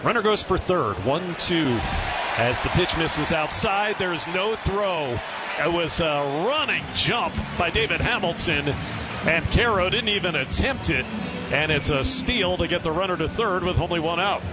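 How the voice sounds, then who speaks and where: A man's voice: a little high, lively intonation, measured pace, over loud music. A man doing baseball play-by-play on the radio, with clipped, descriptive calls that rise on the action. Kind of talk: radio sports commentary.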